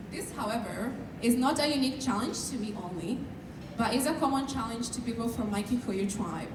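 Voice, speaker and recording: A young woman from Kenya with a Kenyan accent, giving an earnest, measured prepared speech as a student speaker at an event. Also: fairly high pitch. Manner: measured, earnest, sometimes shy. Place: large event hall. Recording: echoey hall, distant mic, noisy.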